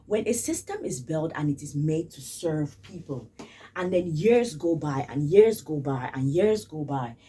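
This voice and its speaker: A woman's voice: deep voice, lively intonation, unhurried, dark tone, recorded from a distance, a little hiss or hum. A woman from Cameroon, giving casual spoken commentary in an emphatic way and repeating phrases for stress. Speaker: vlogger.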